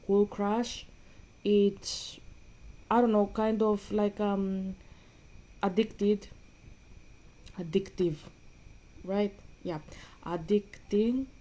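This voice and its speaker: Low-key woman, mellow voice. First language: Greek. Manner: rambling, hesitant, many fillers. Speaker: gaming vlogger. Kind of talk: casual gaming chat.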